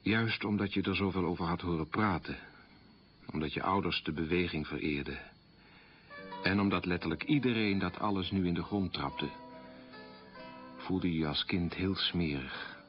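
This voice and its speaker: A man's voice: deep voice, moderate pace, long pauses, muffled recording, soft background music, lightly acted. An actor playing a proud, wounded man recalling a humiliating wartime childhood in a restrained radio monologue. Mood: ashamed, bitter. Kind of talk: radio drama monologue, confessional storytelling.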